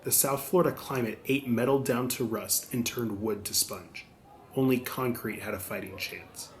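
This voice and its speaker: A man's voice: mid-range voice, steady tone, moderate pace. A man reading scripted audiobook narration as the narrator.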